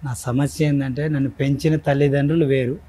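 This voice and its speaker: A man's voice: mid-range voice, fairly even intonation, brisk, dark tone, slightly rough. A man giving an unscripted personal account as a guest, deferential and repetitive as he explains. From Telugu-speaking south India. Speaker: guest telling his family grievance.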